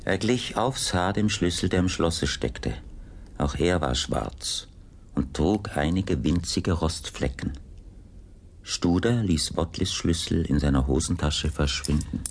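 A man's voice: sits low, takes it slowly, slight background noise. A man narrating a scripted audiobook drama as its storyteller.

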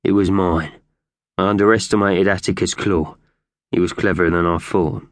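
A man's voice: low voice, steady tone, moderate pace, no room sound. A man voicing a sinister magpie gang boss in a storybook, brooding and menacing. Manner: quiet, brooding, hissing menace.

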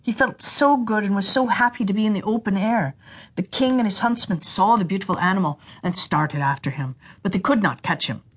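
A woman narrating a fairy tale for children in an expressive, deliberate storytelling manner. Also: medium pitch, husky.

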